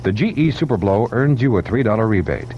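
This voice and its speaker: A man's voice: on the low side, lively intonation, fairly quick, a little mellow. A man reading a 1970s radio advertisement as a commercial announcer. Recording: dull, faintly noisy vintage radio recording.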